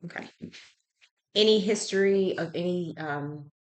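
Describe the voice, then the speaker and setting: A woman's voice: fairly low pitch, unhurried, rough recording. A woman conducting a clinical intake interview as a health professional, conversationally checking and repeating answers. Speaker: health professional taking a patient history.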